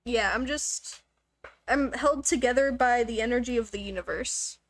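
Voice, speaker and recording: Casual, high-voiced woman livestreamer. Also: normal speed. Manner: joking, self-deprecating. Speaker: game livestreamer. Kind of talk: casual rambling chat with viewers.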